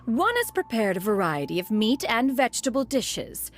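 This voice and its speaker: A woman's voice: fairly high pitch, sing-song, moderate pace, faint music behind. A woman voicing an ancient, aloof female crane spirit who speaks formally and calls herself "one", in a video game. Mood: poised. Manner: archaic formal speech, refers to herself as "one".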